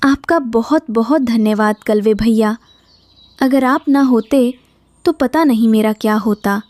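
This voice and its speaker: A woman voicing a gentle, worried mother bird in a cartoon, speaking politely and earnestly. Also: fairly high pitch, unhurried, no room sound, natural voice. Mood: grateful. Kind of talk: Hindi cartoon dubbing.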